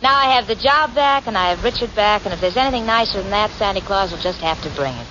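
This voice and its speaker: An actress playing a sensible, sharp-tongued working-girl roommate, articulate, wry and dramatic, in a 1940s radio comedy. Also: mid-range voice, lively intonation, fast. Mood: pleased, wry. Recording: dull, very noisy 1940s radio recording.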